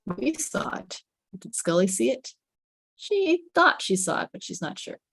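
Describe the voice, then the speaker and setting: A woman's voice: fairly high pitch, very animated, unhurried, gravelly, fairly bright tone, slightly rough, no echo. A woman reading stage directions as dramatic scripted narration, with suspenseful, emphatic phrasing.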